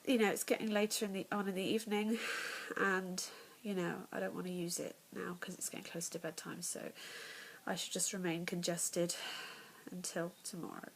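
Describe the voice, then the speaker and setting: A young English woman with a stuffy, nasal voice talks candidly to camera, her tone sitting a little low for a woman. She rambles in a chatty way, often sinking to a near whisper. A faint hiss runs under the home recording. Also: flat delivery, normal speed, poor audio. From England. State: congested, nasal. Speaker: vlogger. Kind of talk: casual pregnancy vlog. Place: home, in the evening.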